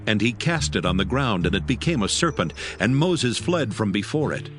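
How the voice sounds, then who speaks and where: Guy narrating the bible, lowish voice, very animated intonation, soft music behind. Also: moderate pace. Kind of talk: dramatized scripture narration.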